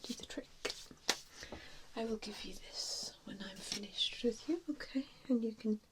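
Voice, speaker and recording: A woman's voice: a little high, measured pace, close to an everyday voice. A woman voicing a kindly, apologetic vampire in a whispered ASMR roleplay, hesitant and reassuring as she tends to a patient. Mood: gentle, caring. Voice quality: soft breathy whisper. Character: nice, apologetic female vampire tending a newly bitten patient.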